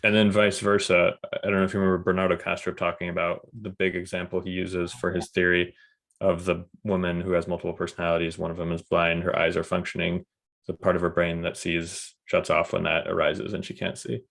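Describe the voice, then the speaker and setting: A man hosting a casual conversation, speaking in a thoughtful, measured way. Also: low voice, monotone, vocal fry, fairly bright tone. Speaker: podcast host.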